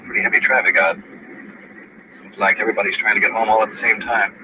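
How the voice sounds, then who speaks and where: A noisy, muffled old radio field recording of an American man with a deep voice. He reports tersely from the scene as a police recorder, narrating what he sees in the present tense. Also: fast talker. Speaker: police recorder and show host.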